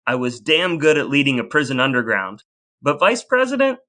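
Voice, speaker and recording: A man's voice: mid-range voice, very animated, fairly quick, bright, forceful tone. A man co-hosting a podcast, chatting casually with his partner on the show. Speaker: podcast co-host. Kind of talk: casual chat.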